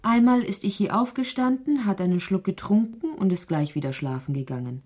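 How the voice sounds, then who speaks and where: An actress playing a brooding, introspective narrator of a dreamlike literary radio drama, with a soft, breathy, husky delivery. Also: female voice, medium pitch, lively intonation, no room sound, clean studio sound, close to an everyday voice. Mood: dreamy, measured. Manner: measured, introspective delivery, sometimes sinking into whispers.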